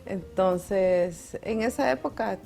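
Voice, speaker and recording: A woman's voice: mid-range voice, slow pace, vocal fry, mellow, faint music behind. A young adult woman with a Salvadoran accent, chatting as a guest in an interview, laughing often and restarting words. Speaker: guest, mountaineer. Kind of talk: casual interview chat.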